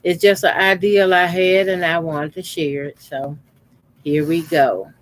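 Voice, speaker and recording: A man in his fifties, a quilting channel host, calmly talking through a how-to demonstration at his sewing machine with his voice deliberately kept low. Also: slight background noise, low-quality recording. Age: 50s. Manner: talks unhurriedly while sewing, deliberately keeping his voice lowered.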